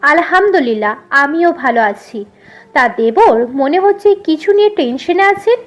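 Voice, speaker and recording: Audio story sister-in-law, female actor: sweet, teasing, insistent young woman, high-pitched with lively swoops. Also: measured pace. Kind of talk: acted audio story dialogue.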